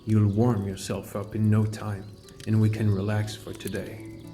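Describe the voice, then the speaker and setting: A man playing a calm, caring fantasy adventurer and mentor, narrating his journey in a measured, earnest first person for an audiobook. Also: fairly low pitch, over music, natural voice. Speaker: narrator and lead character.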